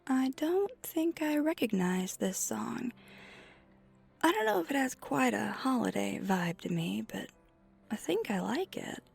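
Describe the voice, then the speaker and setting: Woman acting a friendly flirty vampire, ASMR roleplay, soft breathy delivery, creaky edges, leisurely pace. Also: fairly high pitch, big pitch swings, measured pace, exaggerated voice. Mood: chatty, curious. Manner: soft-spoken, gently reassuring, often breathy near-whisper. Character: flirty friendly female vampire teaching ice skating. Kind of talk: ASMR roleplay, one side of a conversation. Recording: music behind the voice.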